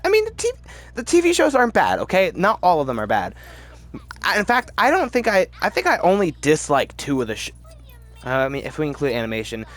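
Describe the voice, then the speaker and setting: A man hosting a casual, opinionated commentary video, talking in a rambling, emphatic way and often interrupting himself. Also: a little high. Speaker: video host.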